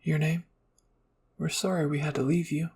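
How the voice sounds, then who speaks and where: A man's voice: fairly high pitch, level tone, normal speed, a little mellow, slight background noise, natural voice. A man voicing the spirits of fallen squad mates, earnest and urging, in a dramatic fan fiction roleplay. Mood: gentle urging.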